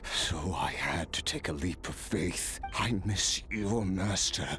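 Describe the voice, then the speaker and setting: An actor playing a weary, defeated immortal warrior in a video game, courteous and reflective, with halting delivery. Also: male voice, low-pitched, sharp tone, soft background music, exaggerated voice. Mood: remorseful, wistful.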